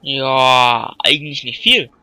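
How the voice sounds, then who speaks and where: A man's voice: medium pitch, slow pace, bright, forceful tone. A man playing a casual, clueless best-friend witness in a comedy courtroom audio play.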